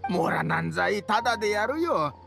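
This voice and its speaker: An actor playing a rough-spoken, hearty and grateful merchant with a gravelly voice in a Japanese anime game. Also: male voice, sits high, animated, fairly quick, music in the background, heavily acted. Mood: grateful, surprised.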